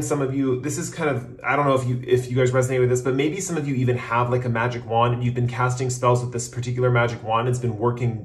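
A man giving a casual, improvised tarot reading, talking in a rambling way full of "like" and "kind of". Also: medium pitch. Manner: rambling, hedging with frequent "like" and "kind of". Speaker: tarot reader, host. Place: indoors at a tabletop, off camera. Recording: roomy, echoing room sound.

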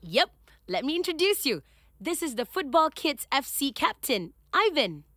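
A chatty upbeat cartoon girl, high lively voice, bright tone, dry studio. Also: moderate pace, dry recording, exaggerated voice. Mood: friendly. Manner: chatty and upbeat, introduces people. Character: friendly girl Nelly, team member. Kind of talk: cartoon voice acting, dubbed.

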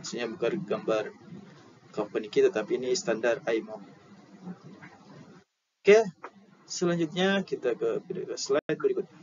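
Young Indonesian male instructor, unhurried and halting, explaining slides informally on dull headset audio. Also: mid-range voice, a little mellow. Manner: halting, explanatory, repeats key terms. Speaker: maritime safety instructor. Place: indoor room. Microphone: headset at a computer. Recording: dull lo-fi audio with clear background noise.

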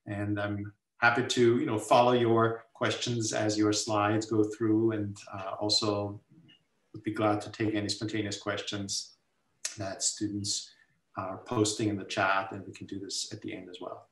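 An older man from Austria, a guest professor talking thoughtfully in a webinar with many filler pauses. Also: on the low side, flat delivery, recorded from a distance. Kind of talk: webinar talk. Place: home room on a video call. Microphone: laptop mic on video call. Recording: roomy video call audio, mic set back.

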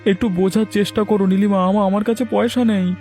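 A man's voice: high voice, steady tone, a little fast, mellow, faint music behind. A man playing a long-suffering, poor village farmer in a fairy-tale cartoon, earnest in delivery. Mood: pleading.

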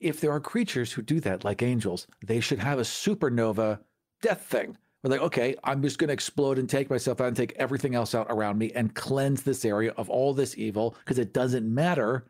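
A man's voice: mid-range voice, a little fast, darkish tone. A man co-hosting a podcast in a casual discussion about tabletop gaming. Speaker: podcast co-host.

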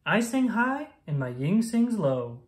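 A woman reading a rhyming children's picture book aloud in a playful, sing-song, expressive manner. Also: medium pitch, measured pace.

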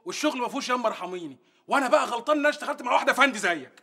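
A man's voice: very high voice, animated, very fast, noisy background. A man playing a brash boastful Egyptian dealer. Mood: cocky. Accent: Egyptian Arabic. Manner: cocky, blustering.

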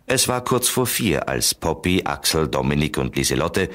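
A man's voice: very deep voice, very animated, moderate pace, fairly bright tone, exaggerated voice. A man narrating a children's detective audio drama as the storyteller.

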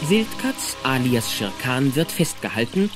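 A man's voice: medium pitch, sing-song, unhurried, over music. A man narrating a children's audio play as scripted storytelling, vivid and suspenseful. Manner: vivid, suspenseful present-tense telling. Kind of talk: dramatic scripted storytelling in German.

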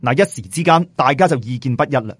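A man narrating a story in an expressive, colloquial storyteller style, with a southern Chinese Cantonese accent. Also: mid-range voice, fast talker, no echo. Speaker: audiobook storyteller. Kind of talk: animated scripted storytelling narration. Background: background music.

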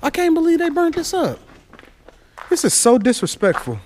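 A man walking through an abandoned school with an action camera, talking to himself in low asides and getting excited at what he finds. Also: moderate pace, a little hiss or hum. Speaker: urban explorer vlogger. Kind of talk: casual walk-through narration. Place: abandoned school building, large indoor halls. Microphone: head or chest-mounted action camera.

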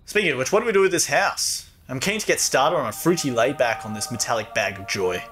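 A man playing a crude, dim, excitable young bloke in a cartoon, with an Australian accent and rambling, slangy talk. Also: medium pitch, quick pace, soft background music, lightly acted. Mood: eager.

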